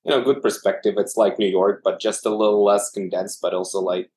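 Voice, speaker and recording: Low-voiced Russian-born man telling his story. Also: a little fast. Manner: relaxed storytelling with frequent fillers. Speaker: anesthesiologist guest. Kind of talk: casual interview conversation.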